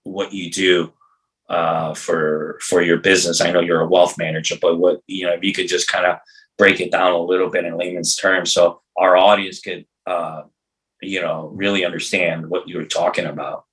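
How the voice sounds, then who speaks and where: Low-voiced middle-aged man, casual podcast host. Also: level tone, moderate pace, fairly bright tone, low-quality recording. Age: 50s. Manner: informal, slangy, repeats words as he goes. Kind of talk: casual, rambling interview chat.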